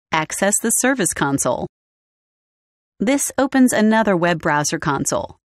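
A woman with a lowish voice gives a calm, scripted software walkthrough. She reads each step clearly and evenly, like a studio voice-over. The sound is dry and close. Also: no room sound. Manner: clear, even instructional delivery. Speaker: tutorial narrator. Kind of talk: scripted product walkthrough narration.

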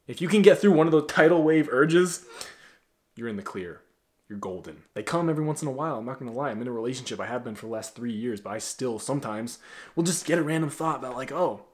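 A man in his twenties giving blunt, profane self-improvement advice straight to camera in a small bedroom, often swinging from loud to quiet. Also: mid-range voice. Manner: blunt, profane, animated with big swings in loudness. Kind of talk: direct-to-camera advice vlog.

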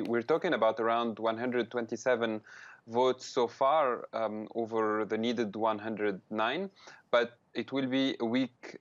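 A man in his thirties, a political analyst, answering a live news interview over a video call from a room lined with bookshelves, speaking in measured phrases with many ums and restarts. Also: mid-range voice. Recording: slightly dull video-call audio.

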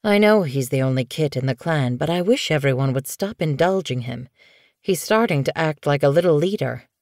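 Woman as a calm, low-voiced male cat healer. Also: no room sound, studio quality. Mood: wry. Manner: measured, lightly wry, reassuring.

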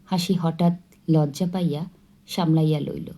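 A woman narrating a literary short story in a slow, expressive storytelling manner. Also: low-pitched. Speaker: audiobook narrator.

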